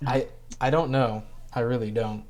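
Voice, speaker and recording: A man's voice: mid-range voice, steady tone, moderate pace, darkish tone. A young man hosting a casual podcast chat, laid-back and laughing often. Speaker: podcast host. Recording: noisy mic hiss.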